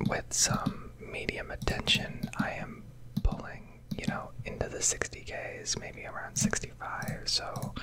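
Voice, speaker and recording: A woman whispering a casual, rambling ASMR commentary over a video game, pausing often as she goes. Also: poor audio. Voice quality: whispered, breathy. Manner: casual, rambling, hesitant pauses. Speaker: video creator, game streamer.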